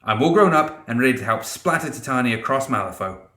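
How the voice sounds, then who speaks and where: A man's voice: mid-range voice, animated, quick pace, bright, forceful tone, echoey room. A man voicing a bratty, swaggering teenage boy with brash, slangy talk in a fantasy audiobook. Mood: cocky. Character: cocky, bratty teenage boy with a cricket bat.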